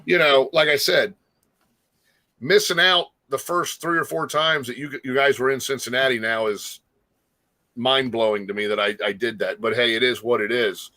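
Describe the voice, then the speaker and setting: A man's voice: medium pitch, steady tone, moderate pace, sharp tone. A man hosting a casual music interview, talking in an informal, rambling, encouraging way. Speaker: talk show host interviewing a musician.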